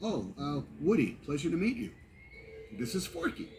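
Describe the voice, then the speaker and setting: An actor playing a loyal, anxious male cowboy toy who leads the other toys, talking earnestly and quickly in animated film dialogue. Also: a little high, slight background noise. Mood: flustered. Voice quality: earnest, fast-talking, emphatic.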